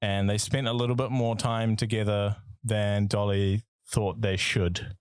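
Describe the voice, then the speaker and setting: A man's voice: on the low side, fairly even intonation, measured pace, smooth, no room sound, studio quality. Rambling, sweary man with a New Zealand accent. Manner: rambling, sweary, full of fillers and restarts. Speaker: podcast co-host. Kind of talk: casual music podcast chat.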